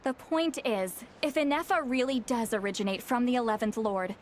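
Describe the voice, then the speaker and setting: An actress voicing a mischievous sorceress who calls herself old Granny, speaking in a dry, teasing, theatrical way. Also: female voice, on the high side, lively intonation, normal speed, a little hiss or hum, close to an everyday voice. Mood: wry. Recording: game soundtrack music behind.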